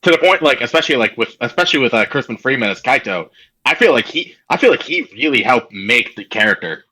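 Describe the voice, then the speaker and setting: A man's voice: medium pitch, animated, quick pace, sharp tone, husky, rough recording. A man co-hosting a podcast in casual chat, often repeating his phrases for emphasis. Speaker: podcast co-host.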